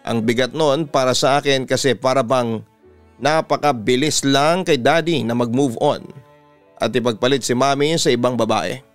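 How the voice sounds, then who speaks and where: A man with a Filipino accent narrating a letter as a radio-style story host, measured and sentimental. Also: medium pitch, no echo. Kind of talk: dramatic narration of a listener's letter. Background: instrumental music.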